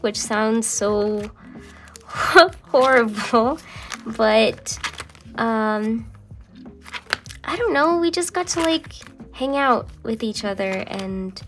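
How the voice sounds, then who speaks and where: Young woman, slightly high voice, unhurried, rambling chat, soft half-whispers, lingering phlegm from a cold, faint music behind. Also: lively intonation. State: still a bit phlegmy from a cold. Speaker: journaling vlogger. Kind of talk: casual chat while journaling.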